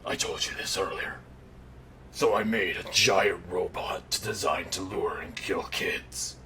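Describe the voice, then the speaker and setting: A man voicing an irritable, sardonic undead killer in an animatronic suit, telling a bedtime story in a deadpan, exasperated growl. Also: sits low, takes it slowly. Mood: grudging, dry. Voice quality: gravelly, breathy growl. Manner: deadpan dark humor, exasperated. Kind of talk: in-character bedtime storytelling roleplay. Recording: noticeable background noise.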